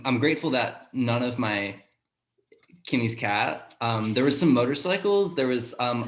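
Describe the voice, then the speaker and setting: A man's voice: medium pitch, fairly bright tone. Man thinking aloud, roomy echo. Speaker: sound designer on a talkback panel. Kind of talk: casual panel discussion answer. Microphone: video call. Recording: roomy echo on a video call.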